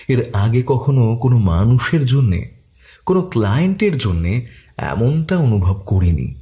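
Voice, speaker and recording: A man narrating a detective story in a steady, expressive way. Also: medium pitch.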